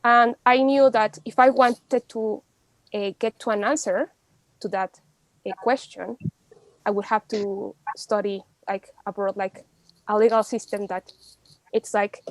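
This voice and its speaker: A woman's voice: mid-range voice, fairly even intonation, unhurried, dry recording, rough recording. A young woman from Mexico, a law student speaking as a podcast guest in casual, chatty talk full of fillers and restarts. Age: young adult.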